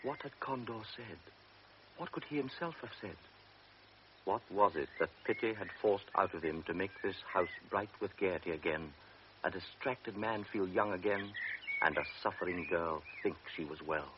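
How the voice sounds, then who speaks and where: Deep-voiced grave radio narrator. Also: male voice, moderate pace. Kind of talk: scripted radio drama narration. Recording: dull old radio recording with hiss.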